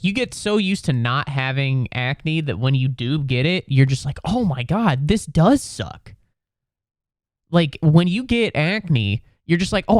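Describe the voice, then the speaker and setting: A young man in his late twenties rants into a close, dry mic at home, sick with a cold and swearing freely. He talks slowly and drags out his words, then his pitch leaps wildly up and down as he gets worked up. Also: no room sound. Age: 20s. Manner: profane, exasperated ranting with sudden high-pitched outbursts. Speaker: comedian podcast host. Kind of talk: ranting comedic monologue. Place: home room.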